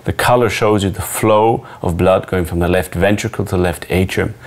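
A middle-aged man giving a measured, scripted medical explanation as a surgeon and narrator. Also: sits low, steady tone.

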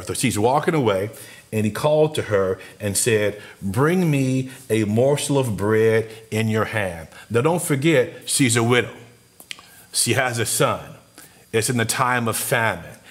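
Middle-aged male pastor, earnest sermon delivery, average pitch, desk camera in a small office. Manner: earnest, speeds up and slows down for emphasis, gestures while talking. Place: small church office with posters, indoors.

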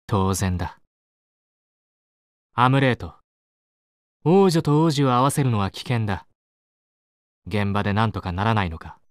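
A man's voice: fairly low pitch, lively intonation, a little slow, long pauses, dry recording, clean studio sound. An actor playing a shrewd, calculating strategist in a Japanese video game, a man who speaks in clipped, authoritative, matter-of-fact phrases. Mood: cool, calculating. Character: shrewd, calculating male strategist, coolly giving orders.

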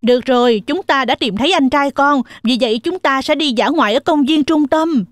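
A woman's voice: high voice, a little fast, dry recording, studio quality, close to an everyday voice. A woman voicing a protective, stern mother goat in a children's cartoon, speaking firmly. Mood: relieved.

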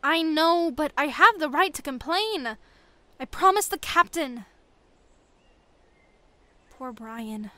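A woman's voice: high voice, lively intonation, takes it slowly, pauses often, bright, forceful tone, no echo, full character voice. A woman playing a caring, worried rebel in a sci-fi audio drama, earnest and concerned. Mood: frustrated, sad. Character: caring, worried female rebel returning from a mission.

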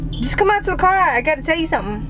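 A woman's voice: sits high, sing-song, racing, background noise. A woman in her thirties with a Texan accent, chatting casually and playfully in slang inside a car. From Texas, United States. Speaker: vlogger. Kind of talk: casual chat. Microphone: camera close by in the car. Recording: car interior, loud music behind.

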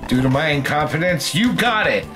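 A man's voice: fairly high pitch, expressive, a little fast, pushed and bright. A man hosting a gaming show, chatting casually while he plays, with self-deprecating jokes and swearing. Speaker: gaming show host playing the game. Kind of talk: casual banter over video game play. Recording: game music under the voice.

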